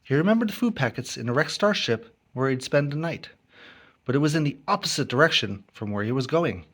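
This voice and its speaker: A man narrating a children's story as an expressive read-along. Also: medium pitch, fairly quick. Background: music and sound effects.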